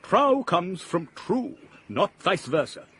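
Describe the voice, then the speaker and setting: A fierce, gloomy philosopher in a radio play, declaiming with oratorical scorn. His voice sits high and swoops across a wide range. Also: sing-song, slow pace, fairly bright tone, slightly rough, heavily acted. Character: impassioned gloomy male philosopher, fierce critic of progress. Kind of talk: 1980s radio drama acting.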